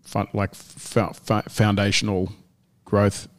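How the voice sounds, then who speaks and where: A man's voice: lowish voice, little pitch movement, slow. A man co-hosting a casual gym podcast, rambling and often restarting as he searches for words. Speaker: gym owner and podcast co-host.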